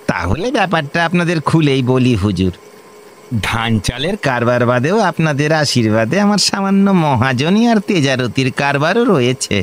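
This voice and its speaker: An actor playing a meek, middle-aged grain trader and moneylender in a Bengali radio play, deferential and hesitant, with a West Bengal accent. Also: male voice, medium pitch, very animated, moderate pace, slight background noise, close to an everyday voice. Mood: pleading, deferential.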